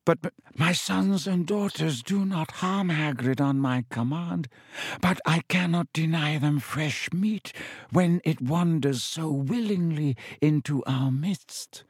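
A man voicing an ancient giant male spider in a storybook, speaking in a slow, solemn, formal way with grave menace. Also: on the high side, slightly rough, dry recording, studio quality, pitched above the performer's own voice, heavily acted.

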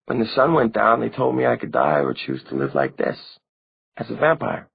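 A man reading an audiobook who voices a small, girlish teenage vampire girl with a soft, breathy, eerily sweet manner. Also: mid-range voice, big pitch swings, moderate pace, phone-quality sound, pitched above the performer's own voice, exaggerated voice. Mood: wistful.

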